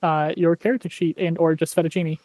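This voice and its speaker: A woman running a tabletop game as game master, relaxed and laughing easily. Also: deep voice, a little fast, dry recording.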